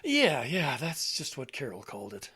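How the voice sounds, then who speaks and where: A man's voice: mid-range voice, huge pitch swings, pushed and bright. An actor playing an elderly widower with failing memory in an audio drama, meek and muddled, stammering as he hunts for words. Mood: meek, apologetic. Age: older. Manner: halting, stammering, searching for words.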